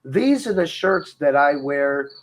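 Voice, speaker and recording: A man's voice: on the high side, animated, takes it slowly, slight background noise. Playful, upbeat man in his twenties. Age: 20s. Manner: enthusiastic, playful hype. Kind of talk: casual chat showing merch.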